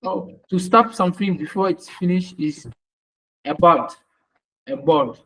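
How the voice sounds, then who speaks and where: Male language student, slightly high voice, unhurried halting delivery, repeating words as he answers exercises. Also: fairly bright tone, rough recording. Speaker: student, air traffic controller.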